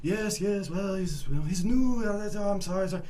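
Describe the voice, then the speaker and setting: A man's voice: sits high, a little fast, warm tone, pitched above the performer's own voice, natural voice. A man voicing a flustered, paternal French restaurant boss who pleads and repeats himself. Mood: appeasing. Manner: repetitive pleading, calls staff baby.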